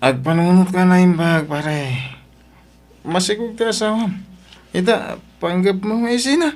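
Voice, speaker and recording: A man's voice: sits high, a little slow, a little mellow. A man with a Filipino accent playing a concerned friend who questions and advises, in an Ilocano radio drama. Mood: concerned, admonishing.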